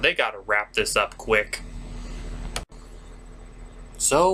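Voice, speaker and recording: Male review host, highly animated and sarcastic, theatrical swings, some background noise. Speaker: host of a cartoon review show. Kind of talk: comedic review commentary.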